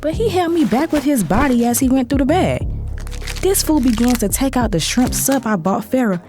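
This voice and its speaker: A woman's voice: sits high, lively intonation, normal speed. A young American woman narrating an audio drama in the first person, sassy, gossipy and confiding, as if talking to a friend. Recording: music bed behind the voice. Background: clear background music.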